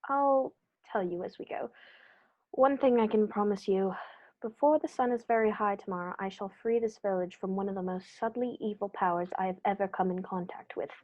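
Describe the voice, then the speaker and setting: Radio play lead: female actor as authoritative Victorian gentleman sleuth, mid-range voice, incisive formal delivery. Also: medium pitch, brisk, dull audio, lightly acted. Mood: commanding. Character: shrewd, commanding male Victorian detective. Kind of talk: 1940s radio play acting.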